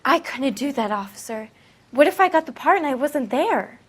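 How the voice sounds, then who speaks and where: A woman's voice: sits high, animated, normal speed. A woman playing a fragile, naive young aspiring actress in a video game, timid and hesitant. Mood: timid, anxious.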